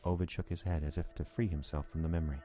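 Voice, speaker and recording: An extremely low-pitched man narrates an audio drama as a sober, uneasy witness. His telling is measured and literary. Music is clearly heard beneath the voice. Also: little pitch movement, mellow. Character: sober male narrator, uneasy listener to Ovid's tale.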